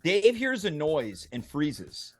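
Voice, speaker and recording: A man narrates stage directions from a script for a comedy table read. His voice sits a little high and swings widely in pitch. Faint music plays behind him. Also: huge pitch swings, takes it slowly.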